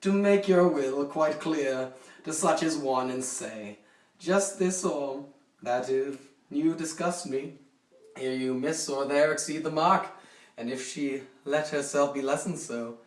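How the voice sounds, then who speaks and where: A man playing a haughty, possessive, coldly jealous duke in a theatrical, deliberate dramatic poetry recitation. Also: fairly high pitch. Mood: disdainful. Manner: theatrical, deliberate, swinging from hushed to forceful. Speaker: poetry reader. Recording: roomy echo, distant microphone.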